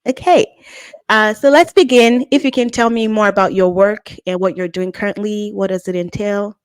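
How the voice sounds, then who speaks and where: A woman's voice: medium pitch, moderate pace, smooth, no echo. A young woman hosting a podcast, upbeat and enthusiastic as she introduces and questions her guest. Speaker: podcast host. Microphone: video call.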